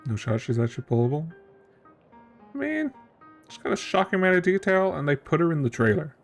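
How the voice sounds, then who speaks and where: A streamer giving casual game playthrough commentary, musing and thinking out loud. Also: mid-range voice, very animated, fairly quick, faint music behind.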